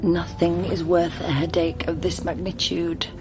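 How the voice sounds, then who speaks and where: An actress playing a wry, solitary British genealogist in a naturalistic radio drama, with a dry, matter-of-fact way of talking. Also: female voice, on the low side, level tone, a little slow, background noise, natural voice. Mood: groggy, pained. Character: wry, solitary female genealogist, brisk and self-mocking. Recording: music under studio radio drama.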